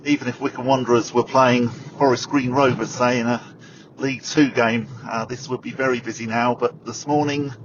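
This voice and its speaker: A man's voice: medium pitch, fairly even intonation, normal speed, lo-fi sound, noisy background. A man, a radio presenter, chatting casually as he narrates his arrival and then talks it over in the studio. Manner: chatty, self-deprecating, lots of fillers. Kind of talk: casual on-the-go commentary and studio chat. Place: in a car, then walking outdoors at a stadium.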